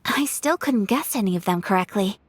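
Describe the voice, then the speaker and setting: An actress voicing a refined young noblewoman in a video game, composed and courteous, with a soft, formal way of speaking. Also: mid-range voice, animated, a little fast, slightly rough, no echo. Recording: game audio with soft music behind.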